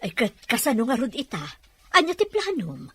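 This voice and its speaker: A woman playing a generous, motherly middle-aged woman in an Ilocano audio drama, with a husky voice. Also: sits high, very animated, moderate pace, fairly bright tone, full character voice. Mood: concerned. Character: warm, generous older woman, motherly helper.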